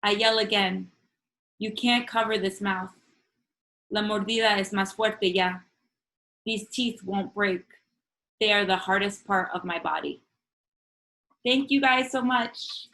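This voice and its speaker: Woman, Spanish as first language, featured poet, measured earnest reading, chatty asides, video-call mic, mid-pitched voice. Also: a bit bright. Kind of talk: reading her own poetry at an online open mic.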